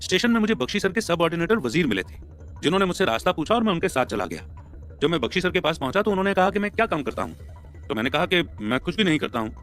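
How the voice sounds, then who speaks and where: A man voicing a smooth-talking young husband who is eager to placate, in an audio story. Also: a little high, very animated, fairly bright tone, faint music behind, voice pushed up. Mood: hasty, explaining. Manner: quick-thinking, placating.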